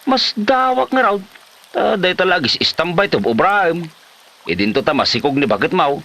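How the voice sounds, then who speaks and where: Guy acting a exasperated older village dad type, comedy drama, bright lively voice, lots of scolding. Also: fairly high pitch, normal speed, exaggerated voice. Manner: scolding, exclamatory. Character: older village man, nagging, exasperated father-figure.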